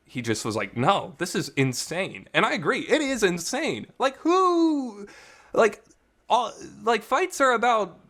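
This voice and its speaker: A man with a slightly high voice talks off the cuff like a podcast reviewer. His pitch swings widely as he makes his points emphatically, in everyday language with mild swearing. The recording is a reverberant, makeshift home setup. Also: a bit bright. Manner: colloquial, mildly profane, emphatic. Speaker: host of a visual novel review channel. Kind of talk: casual unscripted podcast-style review rant.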